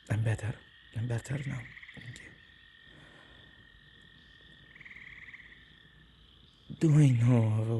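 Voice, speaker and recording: A man playing an earnest, protective male witch in an ASMR roleplay, talking in an emotive, halting way. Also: medium pitch, creaky, noisy background. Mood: tender, soothed. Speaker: audio roleplay voice actor.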